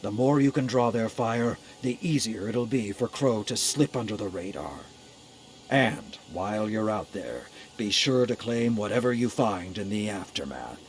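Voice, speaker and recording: An actor playing a stern, battle-hardened military commander in a video game, speaking gravely and with measured authority. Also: male voice, on the low side, expressive. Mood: resolute briefing. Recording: faint music behind. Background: game soundtrack music.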